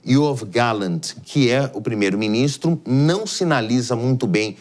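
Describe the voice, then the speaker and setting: A man's voice: medium pitch, a little slow, darkish tone. A man with a Brazilian accent giving live explanatory news commentary as an analyst, in a didactic, emphatic way.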